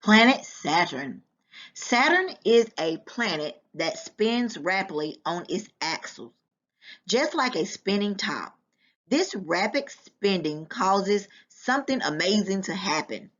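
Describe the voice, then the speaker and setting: A woman reading a nonfiction book aloud to children in a deliberate, instructive way. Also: medium pitch, sing-song, fairly bright tone. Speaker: volunteer children's book reader. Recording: dull, lo-fi sound.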